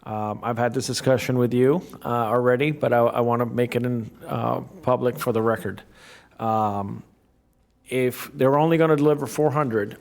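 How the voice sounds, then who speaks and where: A man in an ordinary middle register addresses a county board from the dais. He talks haltingly, doubling words and pausing on fillers as he builds his case. His voice comes through a desk microphone in the commission chamber. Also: medium pitch, vocal fry. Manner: halting, frequent restarts and fillers. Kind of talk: formal public meeting remarks.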